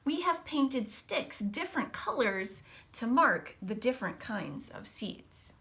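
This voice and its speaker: A woman teacher reading a picture book aloud to children, expressively, with casual, hushed explanatory asides. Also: on the high side, slow pace, a little hiss or hum. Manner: animated storybook delivery, dropping to hushed asides.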